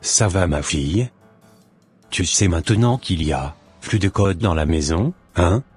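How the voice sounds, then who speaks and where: Very deep-voiced, earnest father played by a man. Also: animated, a little slow, darkish tone, breathy edge, soft background music, heavily acted. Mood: earnest, moved. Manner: earnest, solemn. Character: repentant, earnest father, a humble churchgoing working man.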